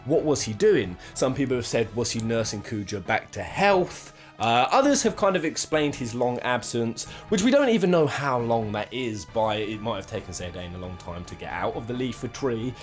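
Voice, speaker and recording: A man presents a casual, joking countdown commentary with a highly animated, mid-pitched voice. He leans on florid exaggeration and crude swearing for comic effect. Music plays underneath as he talks. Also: moderate pace, hardly any pauses. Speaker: video host. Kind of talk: casual, jokey list commentary.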